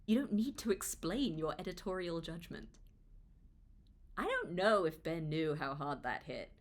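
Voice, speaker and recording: A young woman reads a personal story aloud in a slightly low voice. Her pitch moves around a lot, giving the narration an expressive, conversational feel, like an informal audiobook. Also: unhurried. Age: young adult. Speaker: author reading own book aloud. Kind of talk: audiobook-style read-along with casual asides.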